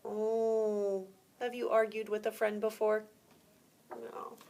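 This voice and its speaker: A woman preschool teacher reading a story aloud to young children, pausing to explain words simply and ask questions. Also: mid-range voice, monotone, a little mellow.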